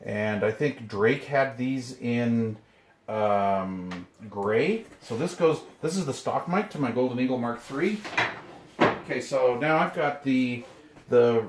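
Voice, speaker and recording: A man's voice: mid-range voice, takes it slowly. A man giving casual, rambling, laid-back unboxing commentary as a hobbyist host, muttering to himself as he goes. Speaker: video host, hobbyist radio collector. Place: indoor room, handling items from boxes. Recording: echoey room with clear noise and clicks.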